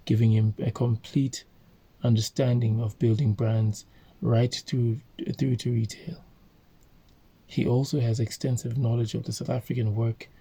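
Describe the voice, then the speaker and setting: Gravelly, dark-voiced man from Zambia. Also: medium pitch. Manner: halting, many fillers and self-corrections. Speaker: host reading a book aloud. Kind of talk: informal reading and commentary.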